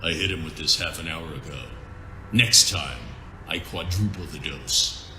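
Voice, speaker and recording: Man acting grim vigilante hero, deep low voice, terse, sardonic, superhero audio drama, music behind. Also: a little slow, sharp tone, reverberant, exaggerated voice. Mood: dry, grim. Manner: terse, grave, dryly sardonic.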